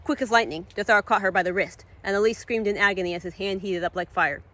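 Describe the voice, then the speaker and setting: A woman's voice: mid-range voice, fast talker. Woman narrating a dramatic fantasy story. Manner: expressive, stumbling and rereading phrases. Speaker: author reading own novel aloud.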